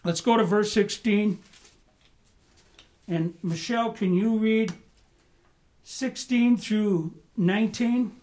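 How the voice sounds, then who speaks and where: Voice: medium pitch, slow pace, pauses often, a little mellow. A pastor teaching a Bible study in a conversational way, often asking the room "right?" and "amen?", with bursts of emphasis.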